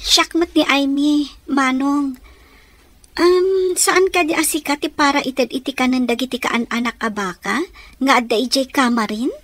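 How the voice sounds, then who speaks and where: An actress playing a modest, dutiful young ranch daughter in a radio drama, with a sweet, earnest manner. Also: female voice, high-pitched, moderate pace, a bit bright, no echo. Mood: shy, friendly. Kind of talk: Ilocano radio drama acting.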